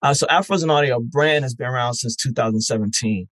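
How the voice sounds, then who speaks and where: A guest on a podcast interview, with a mid-range male voice. He speaks in a measured, thoughtful way with frequent pauses. The sound is dry and close. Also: mid-range voice, fairly bright tone, no room sound. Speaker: guest, network founder. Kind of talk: interview answers. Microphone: remote call.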